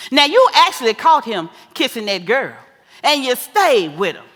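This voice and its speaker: Actress as sassy older American mother, bright high voice, folksy scolding advice, theatrical delivery, echoing hall. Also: a little slow, heavily acted. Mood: scolding, exasperated. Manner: animated, folksy, preachy. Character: sassy, scolding, wise older mother advising her married daughter. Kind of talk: theatrical stage-play acting. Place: live stage in a theater. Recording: echoey theater hall with long reverb.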